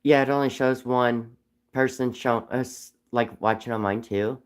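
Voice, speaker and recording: A man with a cold and a raspy voice, chatting casually on a live stream while whittling wood, rambling with frequent ums. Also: mid-range voice, steady tone, a little slow. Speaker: livestream host whittling wood.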